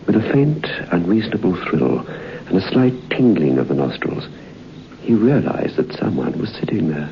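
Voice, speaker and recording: An actor playing the narrator of an old-time radio ghost story, telling it in a measured, suspenseful way. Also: male voice, low-pitched, very animated, vocal fry, heavily acted. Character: storyteller recounting a friend's eerie experience. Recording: muffled old radio broadcast, faint noise.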